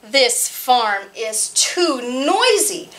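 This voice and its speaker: A woman's voice: high-pitched, very animated, very slow, fairly bright tone, distant mic, full character voice. A woman voicing a sly, scheming male farm cat in a children's picture book reading, drawing out his lines.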